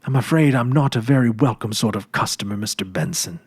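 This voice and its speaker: A man's voice: medium pitch, fairly quick, a little mellow, breathy edge, no room sound. A man voicing a hesitant, uncertain young woman in a storybook reading. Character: anxious young woman selling her locket.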